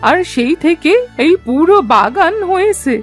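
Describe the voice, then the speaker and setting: A woman's voice: extremely high pitch, animated, normal speed. A woman voicing a wise, kindly old village granny in a Bengali cartoon folktale, knowing and emphatic, over music. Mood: gentle, instructive. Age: older. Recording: music behind the voice.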